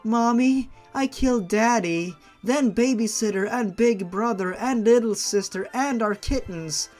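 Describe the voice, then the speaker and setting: Man putting on a pleading high-voiced little boy. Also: unhurried, a little mellow, faint music behind. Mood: tearful, pleading. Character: small crying boy confessing to killings, pleading.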